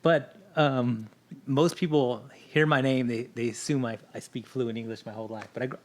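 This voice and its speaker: A man's voice: medium pitch, fairly even intonation, moderate pace. A middle-aged man speaks in an everyday mid-range voice, swapping stories on a podcast. His first language is Spanish. He talks candidly and keeps tacking "right?" onto his sentences. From Southern California. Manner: candid storytelling, frequent "right?" tag questions. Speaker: guest. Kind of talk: casual podcast conversation.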